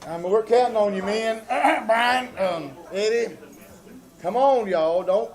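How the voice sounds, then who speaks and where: A man's voice: high voice, slow, darkish tone. A man acting a stubborn, cocky squabbler in live comedic banter on a small theater stage. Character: stubborn man insisting men are funnier. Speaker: troupe member. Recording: live theater with audible audience.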